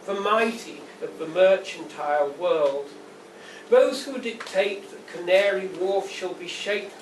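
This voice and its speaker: A man giving a live poetry reading as the poet, with a measured, deliberate, declamatory delivery. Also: high voice. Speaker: poet reading own work. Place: indoor venue at a launch reading. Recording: roomy, distant, noisy live event recording.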